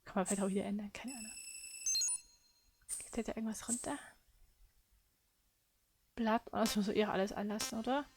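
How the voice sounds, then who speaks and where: A woman's voice: medium pitch, steady tone, a little fast, long pauses, a little mellow, slight background noise. A woman chatting casually during a let's play, half muttering to herself as she makes decisions. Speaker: gamer doing a playthrough. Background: faint game music.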